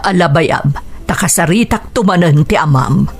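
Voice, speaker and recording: A woman with a Filipino accent acting a gentle, consoling middle-aged mother in an Ilocano radio drama, husky and soothing. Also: fairly low pitch, lively intonation, normal speed.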